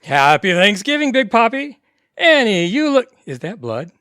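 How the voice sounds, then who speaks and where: A man's voice: high-pitched, very animated, normal speed, sharp tone, clear, resonant tone, no echo, studio quality, heavily acted. A man playing a breezy, wisecracking family friend in an audio comedy. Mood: breezy, teasing. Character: breezy, joking male family friend Joey. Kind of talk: audio theatre comedy acting.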